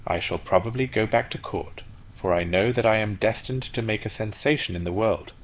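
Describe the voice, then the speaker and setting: A man's voice: lowish voice, a little fast, lightly acted, low-quality recording. A man voicing a conceited male firework rocket who talks in a haughty, lecturing way. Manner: haughty, self-important, lecturing.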